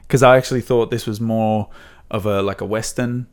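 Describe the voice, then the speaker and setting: A man with a fairly low voice co-hosts a film podcast. He talks in a casual, playful way, dropping in short exclamations as he goes. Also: steady tone, brisk, smooth.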